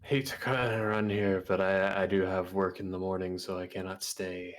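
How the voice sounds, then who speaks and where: A man's voice: low voice, level tone, normal speed. A man, a guest on a stream, chatting casually with dry, self-deprecating humor. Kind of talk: casual chat over voice call.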